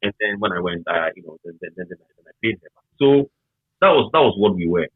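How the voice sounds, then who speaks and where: Over a thin, phone-like connection, a Ghanaian man shares memories in casual conversation. His voice is rather low and rough-edged. He speaks emphatically, circling back to repeat his phrases. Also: lively intonation, quick pace, no room sound. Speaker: interview guest, former radio worker. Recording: tinny, narrow phone-like line.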